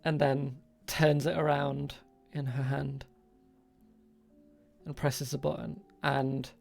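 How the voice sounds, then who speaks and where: A man narrating an improvised tabletop game as game master, halting and pausing between short phrases. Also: medium pitch, level tone, vocal fry, a little mellow, faint music behind.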